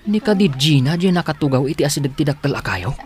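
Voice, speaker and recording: An actor with an Ilocano accent playing the hero's supportive best friend in a radio drama, speaking in a calm, soothing manner. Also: male voice, on the high side, fast, soft background music, natural voice. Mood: reassuring.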